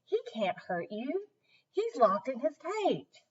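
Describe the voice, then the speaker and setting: A woman's voice: sits high, very animated, slow, slightly rough, pitched above the performer's own voice, full character voice. A woman acts out a helpful, watchful storybook clown, a man with swooping, emphatic speech. The sound is somewhat dull and distant. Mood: reassuring. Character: helpful, alert male circus clown.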